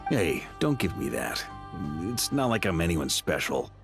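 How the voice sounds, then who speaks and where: Deep-voiced roguish knight. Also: male voice, measured pace, creaky, full character voice. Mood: gloomy. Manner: wry, casual, theatrically expressive. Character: roguish charming grand-master knight, self-deprecating under pressure. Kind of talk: video game cutscene dialogue. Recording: music behind the voice.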